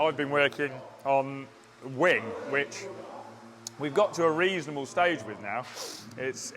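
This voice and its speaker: Male fabricator, casual build update, halting delivery, faint workshop noise behind. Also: mid-range voice, a bit bright. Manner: halting, restarts often, plain technical talk. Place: indoor car workshop.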